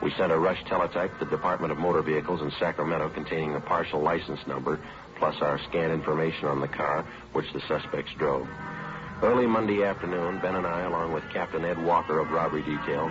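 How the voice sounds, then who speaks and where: Deep, deadpan, fast-talking police detective. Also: male voice. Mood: flat, matter-of-fact. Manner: clipped, deadpan, matter-of-fact. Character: terse, weary male police detective narrating his case. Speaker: detective and narrator in radio drama. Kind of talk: 1950s police procedural radio acting. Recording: dull vintage radio recording, music behind.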